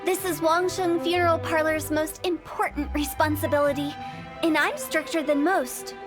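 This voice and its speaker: Actress playing a mischievous young girl mortician, very high and impish, game audio with music underneath. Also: steady tone, normal speed, close to an everyday voice. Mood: teasing. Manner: teasing, breezy, mock-ominous. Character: playful, mischievous young female funeral director. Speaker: game character. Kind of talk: video game voice acting.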